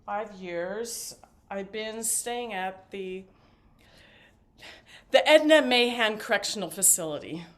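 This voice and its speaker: A woman acting a talkative, evasive and devoted mother in a stage play, with a halting, theatrical delivery. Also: medium pitch, expressive, fairly bright tone. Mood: evasive, hesitant. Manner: halting, rambling, theatrical emphasis. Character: talkative, evasive mother and grandmother, fiercely devoted, just paroled.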